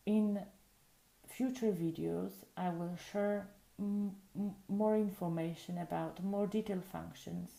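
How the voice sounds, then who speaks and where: A woman whose first language is Polish, calmly explaining phone functions step by step in a patient way. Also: on the low side, vocal fry, echoey room, poor audio. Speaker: tutorial presenter. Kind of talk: casual spoken tutorial. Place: indoors at a table.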